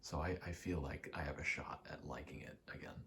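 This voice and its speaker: A soft-spoken man rambling casually in ASMR style, meandering and murmuring, often dropping toward a whisper. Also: very deep voice, steady tone, brisk, creaky. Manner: meandering, self-correcting, casually sweary. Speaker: video maker rambling over gameplay. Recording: faint background noise.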